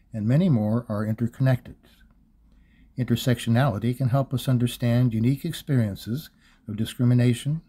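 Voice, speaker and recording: A middle-aged man reading scripted e-learning narration in a measured, instructional manner. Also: mid-range voice, mellow, breathy edge. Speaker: voice-over narrator reading a demo.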